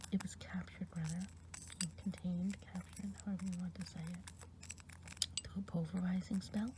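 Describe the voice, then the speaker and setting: A woman voicing a kind good witch of the woods, whispering softly and slowly in an ASMR roleplay. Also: fairly low pitch, background noise. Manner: soft unvoiced whisper, slow and meandering.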